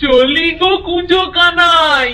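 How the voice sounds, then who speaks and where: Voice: very high voice, slow pace, pushed and bright, slight background noise, heavily acted. A performer playing a young village prankster in a fairy tale, gleefully cruel, with a drawling, taunting manner. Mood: mocking, gleeful. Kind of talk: animated fairy-tale voice acting.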